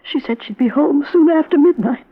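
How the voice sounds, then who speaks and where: An actress playing an anxious, grieving mother in a radio drama, speaking haltingly and fretfully. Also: high voice, close to an everyday voice. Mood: worried, sorrowful. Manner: halting, fretful, repeats words. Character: anxious, grieving parent of an adult daughter. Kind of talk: 1950s American radio crime drama acting. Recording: thin, phone-like vintage radio recording.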